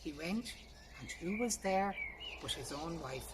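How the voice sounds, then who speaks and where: A woman's voice: lowish voice, expressive, a little slow, far from the mic. Irish woman storyteller, noisy birdsong behind. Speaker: storyteller reading a folk tale. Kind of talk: traditional oral folk tale narration. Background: birdsong, ambient noise.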